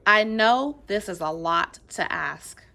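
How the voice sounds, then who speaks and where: A woman playing an earnest, courteous male lawyer in a readers theater play, formal and measured. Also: mid-range voice, lively intonation, creaky, sharp tone, exaggerated voice. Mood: persuasive.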